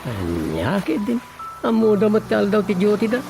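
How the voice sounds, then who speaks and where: Cheeky, animated Filipino everyman. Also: sits high, normal speed, gravelly, over music, heavily acted. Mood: casual. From Philippines. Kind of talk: Ilocano radio comedy drama acting.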